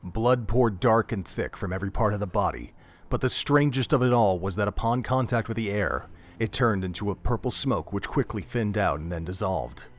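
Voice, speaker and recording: A man narrating a gruesome horror audio drama in a measured, dramatic storytelling manner. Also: lowish voice.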